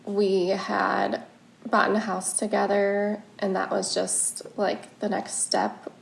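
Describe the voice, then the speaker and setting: A woman's voice: mid-range voice, flat delivery, slow pace, gravelly, reverberant, rough recording. A woman of thirty, a podcast guest, talking candidly in casual conversation. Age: 30s.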